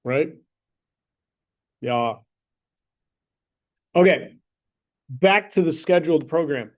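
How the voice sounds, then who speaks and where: A man teaching math to a high school class, talking through problems in a casual, joking way and checking in with the students as he goes. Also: animated, takes it slowly. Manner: joking, conversational, checks in with "right?" and "okay?". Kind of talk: classroom lesson with a mock sponsor plug.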